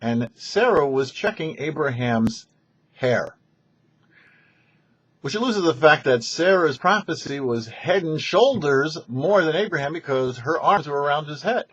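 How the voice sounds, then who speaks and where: A man speaking as a rabbi, delivering scripted religious teaching in a deliberate, didactic way. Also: a little high, animated, fairly bright tone, husky, highs cut off. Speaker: rabbi presenting a weekly Torah show.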